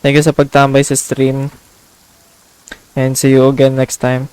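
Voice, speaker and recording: A woman's voice: a bass voice, completely flat delivery, normal speed, a little mellow, slight background noise. A woman with a Filipino accent giving casual commentary as a game streamer.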